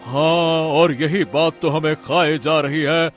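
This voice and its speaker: Cartoon king played by a man: sorrowful, regal, slightly high voice, slow lamenting delivery. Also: fairly even intonation, faint music behind. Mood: remorseful. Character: sorrowful, guilt-ridden king.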